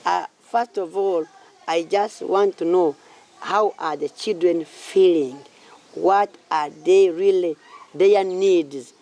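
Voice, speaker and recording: Ugandan guy in his late 30s, soft-edged gravelly voice, talks about himself in halting bits and repeats phrases. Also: high voice. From southwestern Uganda. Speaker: community project founder and church minister. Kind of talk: informal interview answers about own life.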